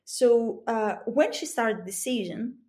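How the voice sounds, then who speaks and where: A woman's voice: fairly high pitch, expressive, slow, darkish tone. A woman with a Greek accent giving methodical, explanatory answers with examples as an interview guest. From Greece. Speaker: interview guest, business coach and psychology expert.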